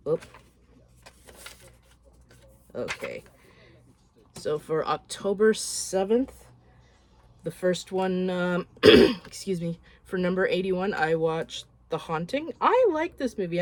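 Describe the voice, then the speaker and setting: A woman with a voice roughened by a cold, chatting frankly and loosely as she runs through a list of films. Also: fairly low pitch, warm tone. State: hoarse from a cold. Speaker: horror film vlogger. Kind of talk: casual movie recap.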